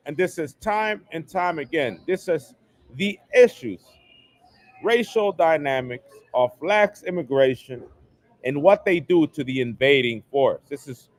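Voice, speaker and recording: A man's voice: on the high side, no echo. A man hosting a solo livestream, delivering an emphatic, repetitive rant that hammers the same phrases. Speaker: livestream host. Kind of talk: ranting solo livestream monologue. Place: home setup, New York.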